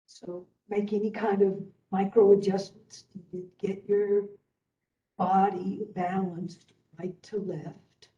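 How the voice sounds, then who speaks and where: A woman instructor leading a guided meditation in a soothing way, with long pauses between short phrases. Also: medium pitch, mellow, muffled recording. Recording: roomy echo, distant from the microphone.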